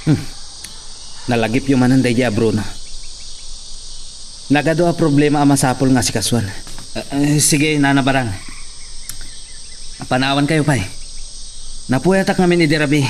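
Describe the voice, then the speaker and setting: A man's voice: medium pitch, moderate pace, long pauses, a little hiss or hum, natural voice. A man with an Ilocano accent playing a guarded, weary young hacienda hand in a radio drama.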